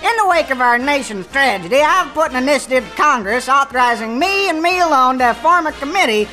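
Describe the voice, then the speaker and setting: A woman performs a bumbling folksy president with a high, very animated voice. He stammers and blusters in a Southern drawl, bright and cartoonish, with music playing clearly behind him. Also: fairly quick, poor audio. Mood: pompous. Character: bumbling folksy president, an excitable male buffoon. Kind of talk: satirical cartoon-style comedy sketch acting.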